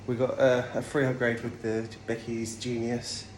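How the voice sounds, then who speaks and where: A man's voice: mid-range voice, monotone, normal speed, a little hiss or hum, rough recording. A man talking casually and chattily as a travel vlogger. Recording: clear music behind the voice.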